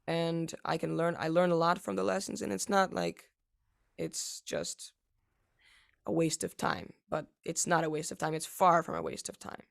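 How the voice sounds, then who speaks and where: Halting teenage boy with gravelly voice. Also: fairly high pitch, expressive, a bit bright. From United States. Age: teens. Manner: halting, many fillers and restarts. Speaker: young pianist interviewee. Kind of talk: casual interview answers.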